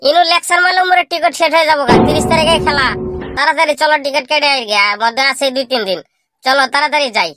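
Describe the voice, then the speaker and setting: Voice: high-pitched, racing, over music, close to an everyday voice. A performer voicing a jobless, boastful young male village slacker in a comic cartoon, grumbling in a rural Bangladeshi dialect. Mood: scheming, eager.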